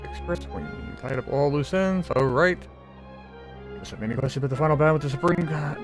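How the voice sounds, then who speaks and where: A man's voice: on the high side, fast talker. A man giving casual, informal let's-play commentary, sometimes mumbling through text as he plays. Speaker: video game streamer. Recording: game music behind the voice.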